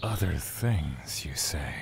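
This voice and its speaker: Slow, very low brooding god. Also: male voice, animated, gravelly, noisy background, full character voice. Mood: wary, cold. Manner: formal, slow, archaic phrasing. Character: brooding, aloof god Argus, wary yet curious. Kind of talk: audio drama acting.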